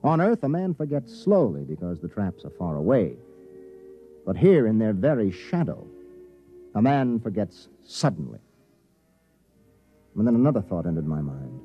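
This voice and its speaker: Commanding spaceship captain, dramatically animated. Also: male voice, on the low side, dull audio. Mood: brooding narration. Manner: brisk, authoritative, intense. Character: commanding spaceship captain, decisive, urgent, narrating his own story. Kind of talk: 1950s radio drama acting and narration. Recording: band-limited 1950s radio recording, music behind.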